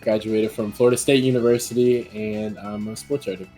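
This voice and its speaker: A man's voice: lowish voice, fairly even intonation, brisk, mellow, soft background music. A twenty-three-year-old man, a sports writer, talking casually and animatedly about his game plan.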